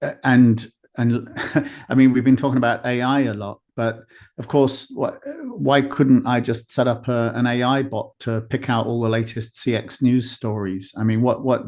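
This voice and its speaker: A man's voice: mid-range voice, level tone, normal speed, darkish tone. A man hosting an interview podcast in a conversational style, feeling his way through loosely built, rambling sentences. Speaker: podcast host.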